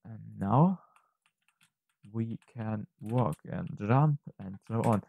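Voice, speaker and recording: A man narrating an unscripted software tutorial, thinking aloud haltingly and correcting himself as he goes. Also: lowish voice, vocal fry. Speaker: tutorial presenter.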